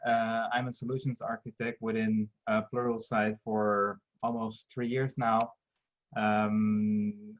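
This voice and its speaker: A man with a Dutch accent introducing himself as a solutions architect on a video call, hesitant and full of fillers. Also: fairly low pitch. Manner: hesitant, many fillers and repeated words. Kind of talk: webinar self-introduction. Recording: dull lo-fi call audio.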